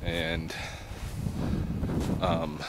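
Slow, halting middle-aged man outdoors in wind. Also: low voice, completely flat delivery. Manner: halting, reflective, with long pauses. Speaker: vlogger on a night hike. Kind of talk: casual spoken vlog, thinking aloud. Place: outdoors at night, open field. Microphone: camera held close to face. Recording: windy outdoor camera mic, noisy. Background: wind noise.

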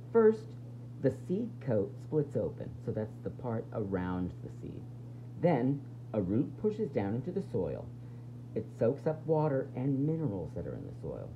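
A woman's voice: extremely low pitch, dark tone, muffled recording, slight background noise. A woman teacher reading a children's nonfiction book aloud, expressively explaining it and putting questions to young listeners.

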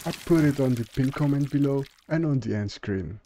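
A man's voice: mid-range voice, a little fast, noisy background. A man reading scripted narration as a video creator.

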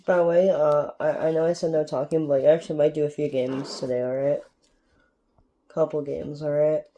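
A young man from Canada giving rambling, casual gameplay commentary with a sore, strained throat, recorded on a phone filming a TV in a dark room at home. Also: a little high, level tone, moderate pace. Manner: rambling, keeps asking "alright?". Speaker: gaming YouTuber.

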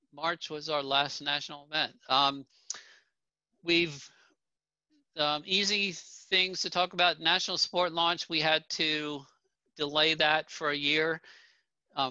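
A middle-aged man leads an online town hall from his home office, his very bright voice sitting a little high. He talks plainly, with ums and restarts along the way. The webcam sound is dull and dry. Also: clear, resonant tone. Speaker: association president presenting at a town hall. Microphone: video call webcam. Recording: dull, dry video call audio.

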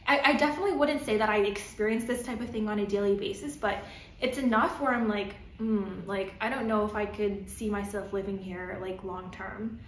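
A woman's voice: mid-range voice, fairly even intonation, brisk, a little hiss or hum. Young woman, Canadian accent, casual vlog, filler-heavy, roomy echo, camera mic. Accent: Canadian English. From Toronto, Canada. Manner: chatty, lots of "like" and "um", gestures while talking. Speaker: vlogger. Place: living room, seated on sofa.

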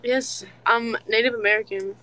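Brief: young woman guest, fairly high voice, nosy questions, casual. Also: fairly even intonation, pushed and bright, dry recording, poor audio. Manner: curious, quick questions. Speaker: livestream guest. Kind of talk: casual livestream chat. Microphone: phone livestream.

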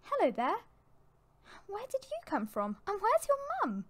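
A woman voicing a kindly motherly cat in a story, speaking in a reassuring, softly coaxing way. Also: very high voice, wildly swooping pitch, a little slow, voice pushed up, exaggerated voice. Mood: reassuring, gentle. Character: kindly motherly adult female cat, gentle and welcoming.

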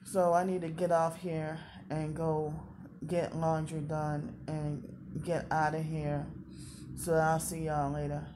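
A woman's voice: deep voice, level tone, slow, background noise. A woman in her thirties talking casually in a confessional vlog, rambling and repeating herself. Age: 30s. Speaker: vlogger.

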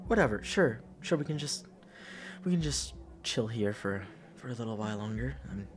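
Casting: male actor as shy young best friend for roleplay audio, unhurried, soft, hesitant, very expressive pitch, caring and teasing. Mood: warm teasing. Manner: soft, hesitant, stammering, breathy sighs and chuckles. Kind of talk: intimate ASMR roleplay audio.

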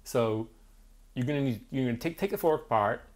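A man's voice: medium pitch, brisk. British guy in his 30s gushing about a bike, lots of likes and basicallys, small office. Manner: enthusiastic, animated, many "like" and "basically" fillers. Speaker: bike magazine reviewer. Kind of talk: casual review chat. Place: small office studio indoors.

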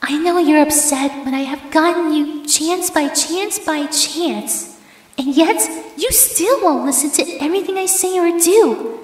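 A woman playing a possessive, obsessive yandere ex-girlfriend in a horror ASMR roleplay, cooing endearments with menace. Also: high-pitched. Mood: quietly menacing. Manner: slow, drawn-out, cooing endearments turning threatening. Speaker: audio roleplay performer. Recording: echoey reverberant hall effect.

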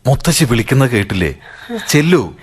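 An actor playing a gentle, dutiful grown son and father in a radio drama, explaining things patiently. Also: medium pitch, big pitch swings, brisk, breathy edge. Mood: fatherly.

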